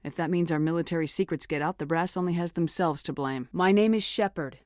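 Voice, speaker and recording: A woman's voice: fairly low pitch, fairly quick, darkish tone, no echo, studio quality, lightly acted. A woman playing a steely but compassionate military starship commander in a video game, speaking in a firm, direct and controlled way. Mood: firm, assertive. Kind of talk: scripted video game dialogue acting.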